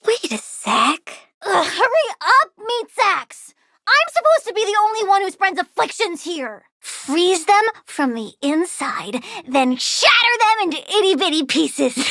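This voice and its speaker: A woman's voice: extremely high pitch, very animated, takes it slowly, fairly bright tone, no room sound, studio quality, full character voice. A woman voicing a young girl plant villain in a video game, cutesy and petulant with a gleefully sinister manner. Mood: playfully bratty, then impatient, bratty, then gleeful menace.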